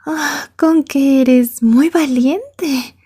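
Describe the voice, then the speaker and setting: A woman's voice: high voice, drawn-out words, dark tone, dry recording, studio quality. A woman playing an obsessive, sweetly menacing yandere schoolgirl in an ASMR roleplay, murmuring with mock-polite menace. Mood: taunting.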